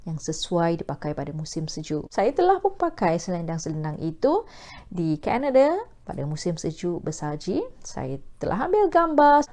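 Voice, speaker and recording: A woman with a Malaysian accent hosting a talk video, speaking formally with measured, newsreader-like diction. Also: fairly low pitch, big pitch swings, warm tone. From Perak, Malaysia. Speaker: host of a talk video series. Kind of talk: formal scripted presentation to camera.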